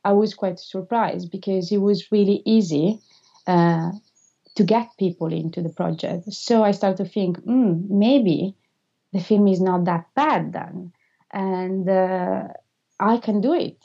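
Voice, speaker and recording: An Italian woman in her thirties talks through interview answers in English, her second language, stopping often on filler sounds. Her voice is mellow and warm. The recording is a little dull and lo-fi. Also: medium pitch, takes it slowly, vocal fry. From Italy. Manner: hesitant, frequent filler sounds, non-native phrasing. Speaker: filmmaker being interviewed.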